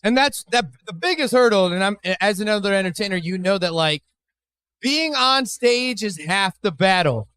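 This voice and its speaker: A man's voice: high voice, animated, normal speed, pushed and bright. A man from Chicago hosting a live podcast at a bar, loose and profane as he interviews a guest. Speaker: podcast host. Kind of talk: casual live podcast chat.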